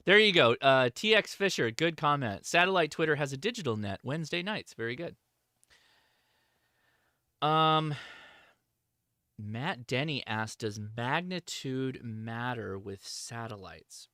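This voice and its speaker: Bright-voiced man hosting a casual livestream. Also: medium pitch, no echo, clean studio sound. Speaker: livestream host answering chat questions.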